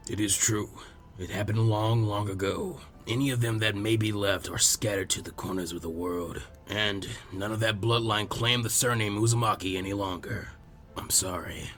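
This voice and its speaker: A man voicing a low, gentle elderly village leader. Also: fairly even intonation, close to an everyday voice. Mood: solemn, gentle. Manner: slow, gentle, measured. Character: calm elderly male village leader, kindly and grave.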